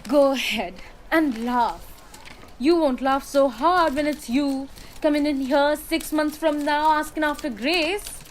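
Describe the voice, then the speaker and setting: A high-voiced young factory woman, stubborn and anguished, acted by a female student in a radio drama. The amateur recording carries some faint noise. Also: a little slow. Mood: bitter, warning.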